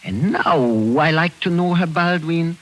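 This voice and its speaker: An actor playing a cold, ruthless German general with a German accent, in 1940s radio acting style. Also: male voice, a little high, lively intonation, measured pace. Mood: menacing. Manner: cold, insistent, menacing. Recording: old radio broadcast.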